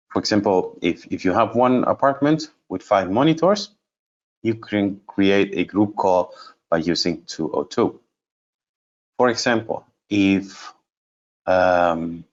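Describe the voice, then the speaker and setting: A man giving an unscripted software demonstration as a trainer, explaining step by step and thinking aloud in a halting way. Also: fairly low pitch, highs cut off. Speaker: trainer presenting a product course.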